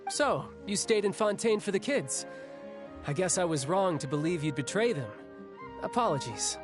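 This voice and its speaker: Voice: medium pitch, animated, moderate pace, over music, natural voice. An actor playing an easygoing, protective young adventurer in a video game, talking casually and candidly. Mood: friendly. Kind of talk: video game story dialogue.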